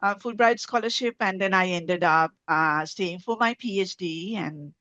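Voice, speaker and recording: A woman with a Sri Lankan accent gives an unscripted interview answer. Her voice is a little low, and she hesitates and repeats words often. The recording is somewhat dull and lo-fi. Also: normal speed, pushed and bright, no room sound. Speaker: guest, soil science researcher. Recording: dull, lo-fi sound.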